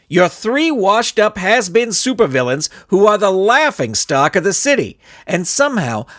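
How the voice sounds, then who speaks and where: A man playing a bossy, high-pitched young soccer coach. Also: fairly bright tone, dry recording, clean studio sound. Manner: bossy, emphatic, sarcastic. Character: young college-age male soccer coach, overbearing, exasperated.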